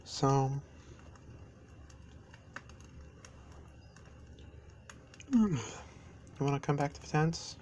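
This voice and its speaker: A man playing a caring, easygoing best friend in an intimate roleplay audio, speaking softly and haltingly to the listener. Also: fairly high pitch. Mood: warm, sleepy. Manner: halting, unhurried, gentle. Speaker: amateur audio roleplay performer. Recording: steady ambient noise behind voice. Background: campfire ambience.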